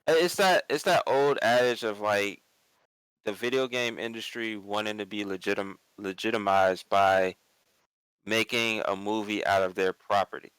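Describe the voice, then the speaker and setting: Man, low smooth voice, dry sound, casual debate, emphatic, opinionated. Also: moderate pace, fairly bright tone, dry recording, low-quality recording. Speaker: panelist on an online pop culture show. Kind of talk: casual opinionated group discussion.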